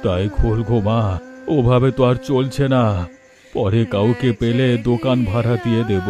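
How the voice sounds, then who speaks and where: A man's voice: medium pitch, steady tone, normal speed, close to an everyday voice. A man playing an anxious, self-pitying widowed father in a melodramatic cartoon, with a plaintive, lamenting manner. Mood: worried, weary. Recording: music behind the voice.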